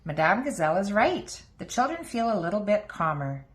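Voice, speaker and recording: A woman's voice: medium pitch, sing-song, fairly quick. A woman reading a picture book aloud to young children as a storybook narrator.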